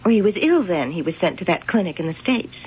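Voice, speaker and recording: A woman's voice: lowish voice, lively intonation, fast talker, breathy edge. An actress playing a secretive, intense young woman in a radio mystery drama, with an evasive manner. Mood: guarded. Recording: muffled, noisy 1980 radio broadcast.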